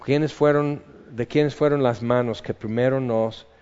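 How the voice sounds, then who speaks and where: A man's voice: mid-range voice, fairly even intonation, a little slow, a little mellow, smooth. A man preaching a sermon in a conversational way, reading psalm verses aloud. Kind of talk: sermon, Bible teaching. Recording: dull reverberant hall recording.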